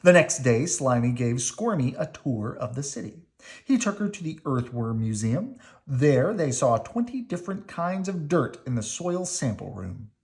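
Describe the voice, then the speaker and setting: Low-voiced woman reading a children's storybook. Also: very animated, normal speed, a little mellow. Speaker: storybook reader. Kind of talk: expressive children's read-aloud narration.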